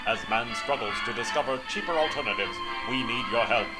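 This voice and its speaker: An announcer reading a spoof public service announcement with deadpan, instructive delivery. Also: mid-range voice, brisk, low-quality recording. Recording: loud music bed behind.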